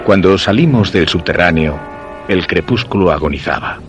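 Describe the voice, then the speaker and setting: An actor with a Castilian Spanish accent playing a curious, insistent husband who recounts a mysterious romance in measured, literary radio-play style. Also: male voice, low voice, expressive, music in the background. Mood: measured, reflective.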